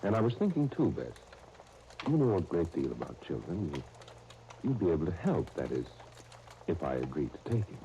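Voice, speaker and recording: A man's voice: low voice, very animated, normal speed, pauses often, dark tone, highs cut off. An American man acting an earnest, hesitant leading man in a 1930s radio soap opera. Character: John, an earnest, hesitant man wary of trouble. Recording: noisy 1930s radio disc recording.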